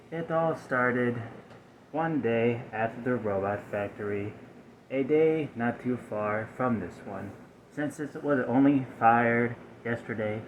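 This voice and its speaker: A man voicing a stiff, aggrieved, malfunctioning robot in a soft-spoken ASMR roleplay, with formal, halting, over-precise phrasing. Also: mid-range voice, a little hiss or hum, lightly acted, rough recording.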